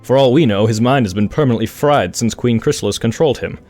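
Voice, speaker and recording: A man's voice: medium pitch, fast talker, darkish tone, faint music behind, lightly acted. A man playing a blunt, sardonic, outspoken pony royal guard in a cartoon audio drama. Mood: grim, doubtful.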